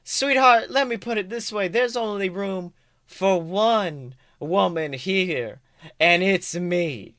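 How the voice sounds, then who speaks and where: Man putting on a sassy, drawn-out female bandit. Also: sits high, bright, forceful tone, no room sound, clean studio sound, full character voice. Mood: haughty, threatening.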